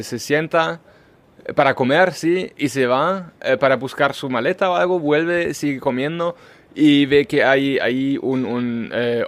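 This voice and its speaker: German guy cohosting a podcast, average pitch, lots of ems and restarts. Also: dry recording. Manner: hesitant, thinking aloud with many fillers. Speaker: podcast co-host. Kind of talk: casual chat.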